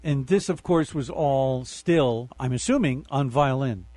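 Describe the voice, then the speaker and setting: A man's voice: mid-range voice, a little slow, no echo. A man hosting a community radio interview, a conductor who talks in long reflective asides. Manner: rambling, reflective, warm asides.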